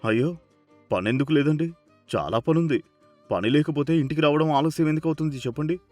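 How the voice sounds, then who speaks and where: A man's voice: on the high side, fairly quick, a little mellow, no echo, faint music behind, close to an everyday voice. Man as a kindly, anxious mill boss. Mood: defensive, deferential.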